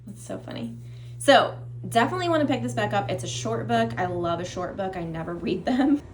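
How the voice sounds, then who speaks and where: A woman's voice: mid-range voice, lively intonation, a little fast. Casual woman book vlogger, noisy room. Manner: informal, rambling, self-interrupting. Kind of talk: casual chatty vlog to camera.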